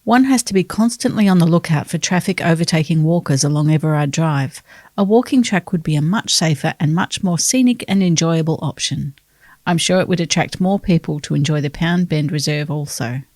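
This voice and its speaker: An Australian woman reading a reader's letter aloud in an earnest, unhurried way. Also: sits low, darkish tone, no room sound, clean studio sound. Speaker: newspaper reader.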